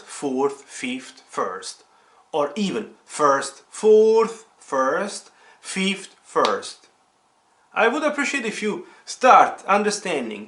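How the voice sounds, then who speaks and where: A man's voice: fairly high pitch, pushed and bright. Man teaching piano step by step. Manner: didactic, step-by-step explaining with repetition. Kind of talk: informal instructional tutorial at the piano. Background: piano being played.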